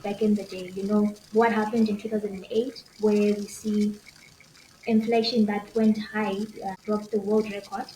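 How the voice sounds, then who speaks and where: A woman's voice: mid-range voice, dark tone. A young woman with a Zimbabwean accent, talking casually and conversationally as an interview guest. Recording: echoey room with faint noise.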